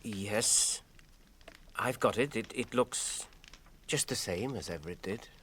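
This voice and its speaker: A man acting an earnest, gentle young hobbit with a British accent and a hesitant, polite manner in a radio drama. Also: lowish voice, takes it slowly, a little hiss or hum. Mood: uneasy.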